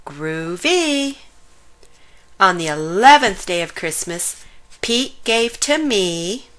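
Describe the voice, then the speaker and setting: A woman reading a rhyming children's picture book aloud in an animated, sing-song way with drawn-out emphasis. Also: mid-range voice. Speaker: children's story reader.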